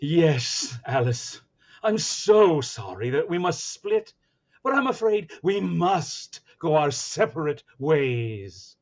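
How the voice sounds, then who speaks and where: A man's voice: on the high side, big pitch swings, slow pace, highs cut off, heavily acted. A man playing an eager radio announcer who hams up a society husband, with grand melodramatic diction in 1940s radio comedy style. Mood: melodramatic pleading.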